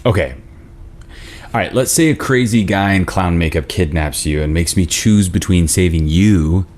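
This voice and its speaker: A man acting an earnest, geeky boyfriend with a low voice. He sounds needy and conversational, as in a romantic drama table read. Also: moderate pace, darkish tone, lightly acted. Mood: earnest, hypothetical. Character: earnest, needy male boyfriend posing geeky hypothetical questions. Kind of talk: conversational script reading.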